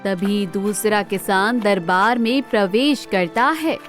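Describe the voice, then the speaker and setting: A woman's voice: medium pitch, slow, soft background music. A woman narrating a scripted storybook tale.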